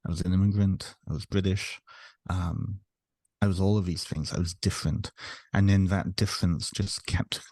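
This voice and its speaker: A man's voice: low-pitched, fairly even intonation, normal speed, a little mellow, breathy edge, no echo. A man in his thirties, raised in Wales and Canada, talking reflectively as a podcast guest, with plenty of fillers and restarts. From North Wales, raised in Ontario, Canada. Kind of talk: casual interview.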